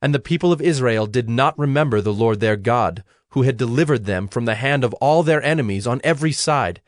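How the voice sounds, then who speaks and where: A man narrating scripture from a script in a measured, solemn manner. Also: mid-range voice, expressive, no echo, clean studio sound.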